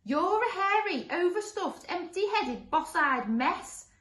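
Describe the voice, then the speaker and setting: Woman putting on a shrill, touchy monster. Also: sing-song, moderate pace, fairly bright tone, full character voice. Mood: indignant. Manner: shrill, indignant exclaiming. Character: first monster, male, touchy, loud, name-calling. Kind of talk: children's storybook character voice. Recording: roomy, echoey sound.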